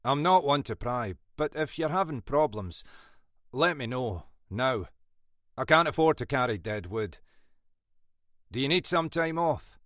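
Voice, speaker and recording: A man's voice: mid-range voice, expressive, unhurried, pauses often, a bit bright, no room sound, studio quality, pitched above the performer's own voice. A man voicing a blunt, scolding older Scottish detective boss in an audiobook. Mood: firm concern. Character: gruff older Scottish male detective boss, stern but fatherly. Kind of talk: audiobook character dialogue.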